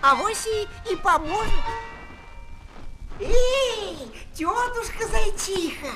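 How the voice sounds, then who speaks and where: Young male hare, children's audio play: tiny high voice, slow, plaintive, naive, good-hearted; noisy vintage disc. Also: expressive, fairly bright tone, faint music behind, exaggerated voice. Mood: plaintive, pleading. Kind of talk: children's audio drama acting. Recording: noisy 1970s flexi-disc record.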